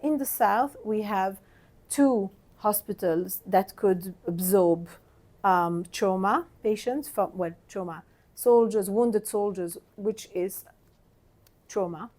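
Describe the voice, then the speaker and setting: A woman's voice: mid-range voice, slow pace, dark tone. A woman who is a hospital guest relations director, explaining how the hospital works, with some restarts. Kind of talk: interview explanation.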